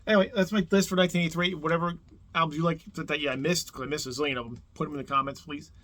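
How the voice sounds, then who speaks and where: A man's voice: on the high side, fairly even intonation, rapid-fire, fairly bright tone. A man from New Jersey with a Jersey accent hosts a casual album countdown on his video channel, rambling with lots of fillers and restarts. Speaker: YouTube host.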